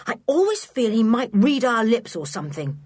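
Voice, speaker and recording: Storybook child, played by a woman: wary, earnest, insistent, pitched up, dry close recording. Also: big pitch swings, normal speed, a little mellow, breathy edge, dry recording. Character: suspicious, wary child who mistrusts a servant.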